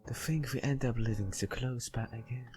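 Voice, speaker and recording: A man's voice: medium pitch, moderate pace, darkish tone, pitched above the performer's own voice, close to an everyday voice. A man voicing a sweet, coy, obsessive young woman from a visual novel, in a lilting, teasing way. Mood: sugary, coy. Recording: faint background music.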